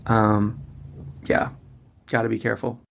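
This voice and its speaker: Chatty musician with a flat delivery. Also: male voice, on the low side, unhurried, creaky, slight background noise. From Mississippi, US. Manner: loose and chatty, many fillers and restarts. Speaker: musician guest. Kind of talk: casual interview conversation.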